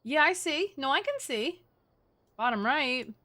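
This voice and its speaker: Excitable woman streamer, very animated voice. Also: sits high, a little slow. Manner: excitable, exclamatory, swears freely. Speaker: video game streamer. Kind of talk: casual live gaming commentary with friends.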